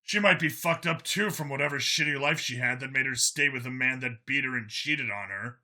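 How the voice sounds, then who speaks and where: A man acts out a jaded male cop from a science fiction audiobook. The voice is bright and forceful, at a middling pitch. He speaks bluntly but haltingly, guarded about his feelings and questioning himself. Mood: troubled, unsure.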